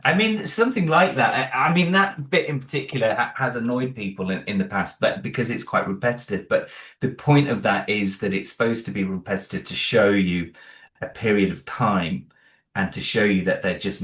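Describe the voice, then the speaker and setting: Deep-voiced man in an echoey room. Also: fairly bright tone. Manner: thoughtful, halting, many restarts and "you know". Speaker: creator of an audio drama, interview guest. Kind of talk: casual interview talk.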